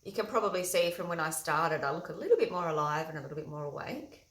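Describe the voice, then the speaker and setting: Husky low-voiced woman, echoey room. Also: lively intonation, quick pace. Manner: loud, chatty, talks through each step. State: early morning, no coffee yet. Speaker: makeup vlogger. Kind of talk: casual makeup tutorial.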